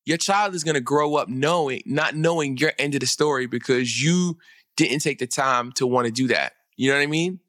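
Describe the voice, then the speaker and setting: Animated man on a podcast, crisp dry sound. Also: medium pitch, normal speed, no room sound. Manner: animated, agreeing, heated, slangy ("bro", "yo"). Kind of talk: casual podcast chat.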